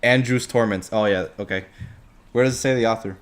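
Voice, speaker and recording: A man's voice: lowish voice, brisk. A man chatting casually as a podcast co-host, reacting bluntly and swearing often.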